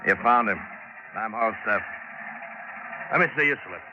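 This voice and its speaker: A gruff lazy ship officer. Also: male voice, fairly low pitch, animated, unhurried. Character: bulky idle male chief officer of a ship. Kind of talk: 1940s radio acting. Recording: muffled old radio broadcast with noise.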